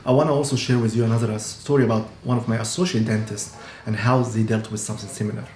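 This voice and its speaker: A man's voice: on the low side, steady tone, fast talker, a little mellow. Earnest man. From Egypt. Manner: earnest, explanatory, frequent restarts. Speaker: dentist and practice owner. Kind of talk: casual talk to camera. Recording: echoey room with faint hum.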